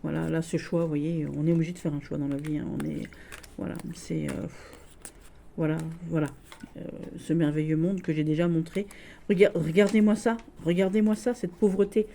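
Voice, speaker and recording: Woman, Lorraine accent, lowish mellow voice, halting enthusiast; light background noise. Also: steady tone, gravelly. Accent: Lorraine French. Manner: halting and gushing, many restarts and fillers. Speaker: tarot deck reviewer. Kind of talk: casual tarot deck showcase.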